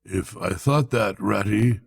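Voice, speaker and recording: A man voicing a languid storybook toad, feeble and murmuring, playing the mournful martyr as if gravely ill. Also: lowish voice, slow, no room sound, exaggerated voice. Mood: feeble, pleading. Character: languid, self-pitying male toad feigning grave illness.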